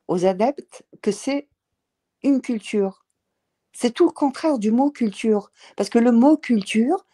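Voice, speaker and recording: A woman speaking in a casual panel discussion, emphatic and halting, with many fillers. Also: mid-range voice, warm tone.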